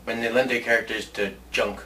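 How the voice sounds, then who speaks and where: Male co-host, average pitch, loose casual banter, recorded away from the mic. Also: little pitch movement, fairly quick, sharp tone. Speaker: show co-host. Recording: distant mic, faint background noise.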